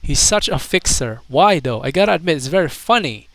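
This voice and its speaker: A man's voice: fairly high pitch, lively intonation, brisk, a bit bright, dry recording. A man doing casual video commentary, reading out online comments in an amused, mocking way.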